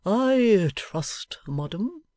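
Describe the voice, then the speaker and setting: A pompous older waiter murmurs with hushed, stately gravity, as a woman reader voices him. The breathy half-whisper is close and dry. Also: mid-range voice, sing-song, very slow, no echo, voice pushed up. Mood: solemn.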